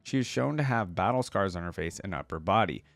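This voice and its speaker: A man's voice: low-pitched, expressive, a little fast, creaky, a bit bright, dry recording. A man reading text aloud as a reaction video host, dropping in casual asides between passages.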